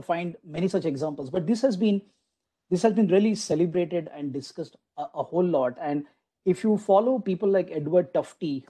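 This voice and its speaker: A man giving an online lecture to a room of teachers, speaking through a computer microphone on a video call. His voice sits a little high but sounds very soft and mellow. He talks in a loose, conversational way, restarting phrases and leaning on "you know" as he goes. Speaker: guest lecturer at a faculty development program.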